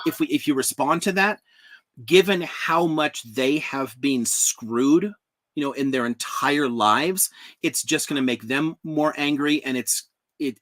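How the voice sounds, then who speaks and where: A man's voice: mid-range voice, a bit bright. A man hosting a live stream discussion, giving thoughtful advice with frequent restarts. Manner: thoughtful, restarts often, advising. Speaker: host.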